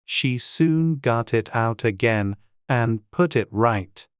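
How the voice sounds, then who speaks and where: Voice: mid-range voice, lively intonation, takes it slowly, clear, resonant tone, no room sound. Storybook narrator in a dry recording. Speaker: audiobook narrator.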